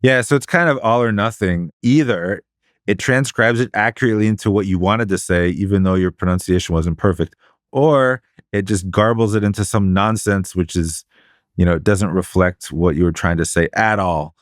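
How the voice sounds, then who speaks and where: A man co-hosting a podcast, explaining study methods in a measured, casual way. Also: sits low, no room sound, studio quality. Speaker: podcast co-host.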